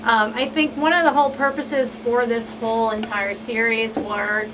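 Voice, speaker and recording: A woman's voice: a little high, steady tone, moderate pace. A woman on a talk show panel explaining things earnestly, as someone who works in victim support. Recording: distant mic, clear background noise.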